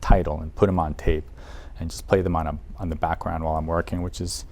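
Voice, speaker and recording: A man's voice: low voice, little pitch movement, quick pace, a little mellow. A man presenting a casual product guide in an audio shop, talking informally and with enthusiasm for the gear. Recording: noticeable background noise, room ambience.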